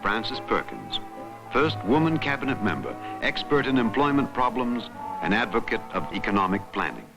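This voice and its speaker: Male narrator, American accent, animated pitch swings, emphatic newsreel style, clipped phrasing, loud music behind. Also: moderate pace, rough recording. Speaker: documentary narrator. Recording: vintage documentary soundtrack with loud music.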